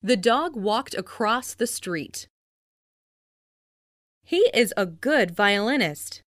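A woman reads scripted English practice phrases in a clearly enunciated, instructional way. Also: medium pitch, animated, slow pace, fairly bright tone, no room sound, studio quality. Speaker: language lesson narrator.

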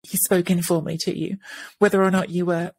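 A woman's voice: lowish voice, flat delivery, fairly quick, darkish tone, breathy edge. A woman in her thirties co-hosting a podcast over a video call, talking thoughtfully with many restarts and fillers. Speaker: podcast co-host. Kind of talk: casual podcast discussion. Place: home room with bookshelves, indoors. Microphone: earphone headset on video call.

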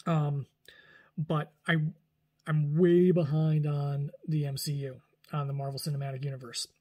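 A man's voice: a little high, a little slow. A man in his forties chatting casually to camera at home, rambling with frequent restarts and self-corrections. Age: 40s. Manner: rambling, animated, lots of restarts and hand gestures. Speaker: vlogger. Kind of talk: casual talking-head vlog. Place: small room indoors at home.

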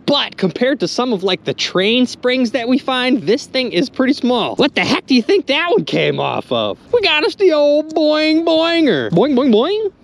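Young male vlogger on a river boat, lively swinging pitch, excitable outdoor chatter, faint noise behind. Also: moderate pace, vocal fry, few pauses. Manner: animated, exclaiming, chatty with viewers. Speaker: magnet fishing vlogger. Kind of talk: excited casual narration to viewers.

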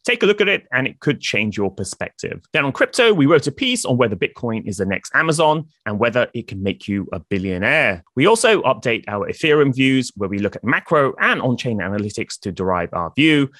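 A man's voice: mid-range voice, brisk, few pauses, no room sound, clean studio sound. A man hosting a finance podcast, reading a promotional intro and then opening an interview. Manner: lively, promotional delivery. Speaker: podcast host. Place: indoor room, plain wall. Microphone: video call.

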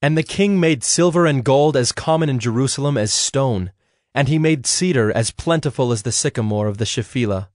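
A man narrating scripture from a script in a measured, formal way. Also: medium pitch, a little mellow, dry recording, studio quality.

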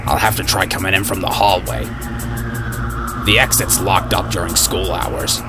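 A man's voice: sits low, level tone, moderate pace, loud music behind the voice, lightly acted. A man voicing a snarky, foul-mouthed ginger schoolboy gunslinger in a video game, cocky and slangy yet caring. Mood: sardonic. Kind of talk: video game character voice acting.

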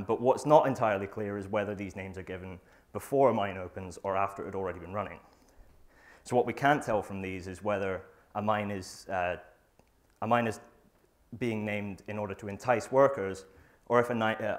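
A young man presenting his research in a lecture theatre, reading from notes with a lapel mic, often pausing with fillers and restarting phrases. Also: fairly low pitch. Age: young adult. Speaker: student presenter. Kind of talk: academic conference talk.

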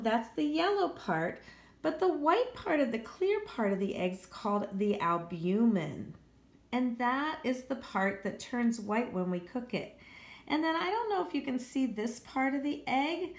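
Casting: American female elementary teacher, animated pitch, explanatory read-aloud style for kids, recorded in an echoey room. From United States. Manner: explanatory, repeats and rephrases facts for children. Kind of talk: read-aloud lesson for children.

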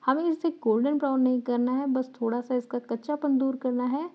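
A woman's voice: high-pitched, steady tone, a little fast, highs cut off. A woman walking through a recipe step by step in a voice-over, patiently explaining each step and repeating key points. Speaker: cooking channel host.

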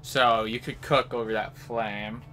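A man's voice: lowish voice, steady tone, measured pace, a bit bright. A man giving casual, offhand live commentary on a video game, half talking to himself as he plays. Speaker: gaming video host. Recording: game music behind the voice. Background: video game music.

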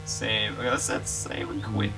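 A man's voice: fairly low pitch, expressive, moderate pace, gravelly, loud music behind the voice. A man streaming his game development live, talking casually through his code and reacting to chat. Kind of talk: live coding stream, thinking aloud.

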